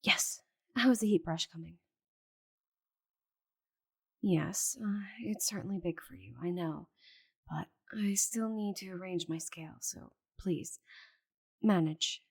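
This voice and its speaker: Casting: proud giant she-dragon voiced by a woman for ASMR roleplay. Breathy, slow-paced, condescending, flustered and muttering to herself. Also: lowish voice, full character voice. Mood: exasperated, urgent. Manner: haughty, exasperated, condescending endearments, muttering to herself. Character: proud stubborn giant female dragon, flustered while shrinking.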